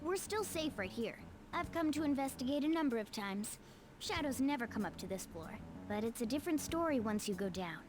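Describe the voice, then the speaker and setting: High, animated, cocky cartoon cat guide. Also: female voice, a little fast. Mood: explaining, confident. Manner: explanatory, self-assured, bossy. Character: talking cat guide who turns into a bus, cocky, know-it-all. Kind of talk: anime video game voice acting. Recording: game music behind the voice.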